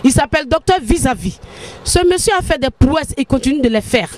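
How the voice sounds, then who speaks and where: A woman with an Ivorian French accent, hosting a casual interview in a lively, teasing, chatty way. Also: sits high, a little fast, slight background noise. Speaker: reporter and host.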